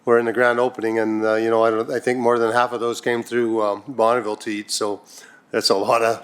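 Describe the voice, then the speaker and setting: A man serving as reeve, giving a casual, rambling report to council with many fillers and restarts, on a desk microphone in a council chamber. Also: medium pitch. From Canada. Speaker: reeve chairing a council meeting.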